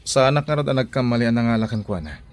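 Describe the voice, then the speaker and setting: A man's voice: mid-range voice, lively intonation, very fast, faint music behind, lightly acted. A man with an Ilocano accent playing a kindly, teasing older-brother figure in a rural radio drama. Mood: kindly, inquiring. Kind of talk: Filipino radio drama acting.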